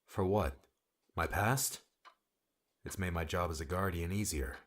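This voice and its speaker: An actor playing a curt, aloof guardian, a weary loner who speaks tersely and coldly in an audiobook drama. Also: male voice, deep voice, measured pace. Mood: guarded, matter-of-fact. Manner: terse, dismissive, cold.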